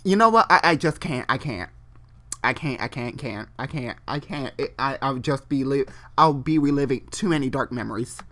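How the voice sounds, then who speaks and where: A mid-pitched host reads a story dramatically. The delivery stumbles over the text and keeps veering into ranting asides, exasperated and full of swearing. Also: expressive, normal speed, a bit bright. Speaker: host doing a dramatic reading.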